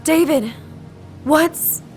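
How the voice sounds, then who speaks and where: A woman's voice: high-pitched, drawn-out words, a little mellow, soft background music. A woman playing a young female survivor in peril in an audio drama, urgently calling out.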